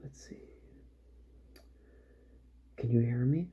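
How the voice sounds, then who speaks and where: A man doing a soft-spoken ASMR roleplay as a calm, methodical repair doctor, musing gently to himself and often drifting into a whisper. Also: mid-range voice, takes it slowly, husky. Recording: muffled audio with faint background noise.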